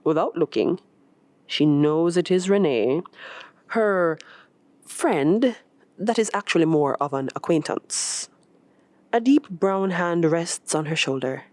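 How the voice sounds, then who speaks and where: A woman reading a short story as scripted narration, in an expressive, measured storytelling manner. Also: fairly low pitch, dry recording. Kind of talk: scripted narration of literary fiction.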